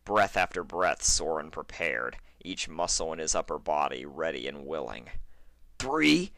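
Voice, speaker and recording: A man's voice: extremely low pitch, moderate pace, sharp tone, no room sound. A man narrating a fan fiction story in a dramatic, scripted reading style.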